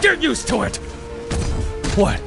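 Guy playing a grim vengeful masked vigilante, pitch swings a lot, menacing and clipped, loud music under it. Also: wildly swooping pitch, creaky, exaggerated voice. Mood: cruel, enraged. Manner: menacing, deliberate, clipped delivery. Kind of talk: dramatic superhero audio drama acting.

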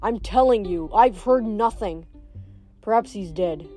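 A woman's voice: mid-range voice, unhurried, dark tone, faint music behind, natural voice. A woman voicing a very old, fat male satyr who is pompous and cowardly, talking in a huffy, blustering way and stammering when scared. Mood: nervous.

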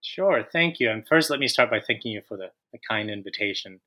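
A man in his thirties from Colombia, a guest author answering interview questions with frequent pauses and restarts. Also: on the low side, lively intonation, sharp tone. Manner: hesitant, many fillers and restarts.

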